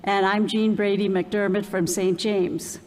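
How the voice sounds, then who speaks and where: A woman hosting an event, reading an introduction slowly and deliberately with ums. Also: mid-range voice, level tone, a little mellow. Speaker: event host introducing the speaker. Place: parish hall or church. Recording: long reverb of a church hall.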